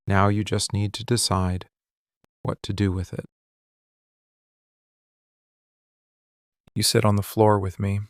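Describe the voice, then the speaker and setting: A man playing a possessive, tender mafia boss in a boyfriend ASMR roleplay, speaking soothingly and deliberately in short, hushed phrases. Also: on the low side, flat delivery, clear, resonant tone, no echo, clean studio sound, lightly acted. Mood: brooding, intense. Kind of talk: boyfriend ASMR roleplay, slow intimate monologue.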